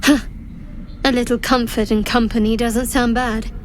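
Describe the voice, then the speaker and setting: A woman's voice: fairly high pitch, little pitch movement, normal speed, a little hiss or hum, lightly acted. Woman playing a lighter-voiced, wry adventurer woman. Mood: wry, softening. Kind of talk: fantasy game dialogue.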